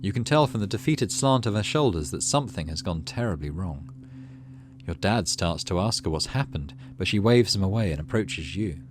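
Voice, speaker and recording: A man's voice: low-pitched, animated, moderate pace, noisy background. A man hosting a game playthrough, reading story text aloud expressively and adding casual asides. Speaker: gaming channel host.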